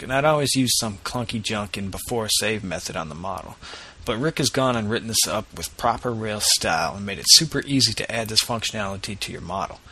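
A man's voice: lowish voice, pushed and bright, husky. A man hosting a podcast, reading a casual news roundup and interviewing a guest in an upbeat way. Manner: casual and upbeat, with easy laughs. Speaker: podcast host.

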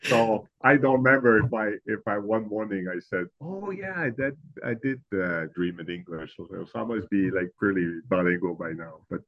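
Man, Quebec French accent, middle-aged, lowish pitch, dry muffled sound. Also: normal speed, hardly any pauses, no echo. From Quebec, Canada. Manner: searches for words, many fillers. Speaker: guest. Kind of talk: casual interview chat.

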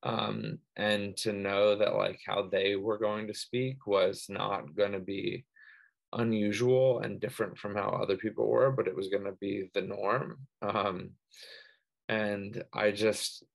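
A man's voice: fairly low pitch, steady tone, a little slow, vocal fry, low-quality recording. A man who stutters, speaking casually on a panel and reflecting, with repeated sounds and frequent fillers like "like" and "um". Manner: person who stutters, with part-word repeats and many fillers.

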